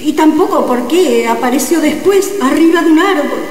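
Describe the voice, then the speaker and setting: Echoey radio play, woman doing a coy amateur witch with a high voice, dodging and sheepish. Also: animated, moderate pace, darkish tone. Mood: coy, evasive. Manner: coy, evasive, theatrical. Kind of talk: radio comedy drama acting. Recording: echoey reverberant sound, faint noise.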